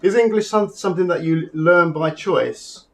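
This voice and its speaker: A man's voice: sits high, normal speed. A man from the United Kingdom hosting a show, asking interview questions in a friendly, self-deprecating way with hesitant restarts.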